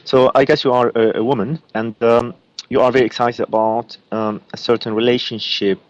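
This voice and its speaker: A man from Lebanon with an Arabic-accented voice, giving psychic readings as a guest and pausing often with fillers. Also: mid-range voice, fairly even intonation, lo-fi sound. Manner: halting, many uh and um fillers.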